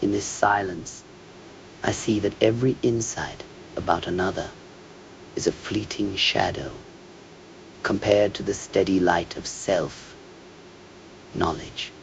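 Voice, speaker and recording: A man speaks very slowly in a low, gravelly voice, as if in quiet meditation. His scripted phrases are measured and contemplative, often softening toward a whisper at the ends. A faint low noise sits under the voice. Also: rough recording. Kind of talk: slow meditative scripted monologue.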